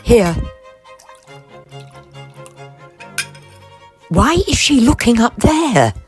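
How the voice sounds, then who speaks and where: A woman's voice: a little high, sing-song, unhurried, breathy edge, faint music behind, heavily acted. A woman playing a chatty, respectable Edwardian mother in an audio drama. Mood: frightened. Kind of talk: period audio drama acting.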